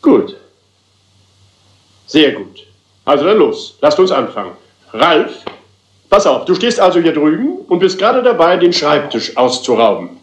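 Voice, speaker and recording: An actor playing a self-important, theatrical and impatient theatre director in a crime radio play. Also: male voice, a little high, sing-song, a little slow, pauses often. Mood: smug, businesslike. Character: flamboyant, impatient theatre director rehearsing his own play. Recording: slightly roomy radio drama sound.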